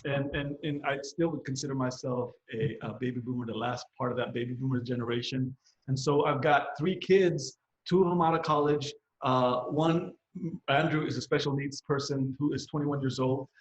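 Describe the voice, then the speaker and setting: A man in his fifties giving fatherly advice in casual podcast talk, halting and repeating phrases as he goes. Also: mid-range voice. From Philippines, moved to the US at nine, San Francisco Bay Area. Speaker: podcast host, parent of young adults. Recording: roomy echo, slightly dull sound.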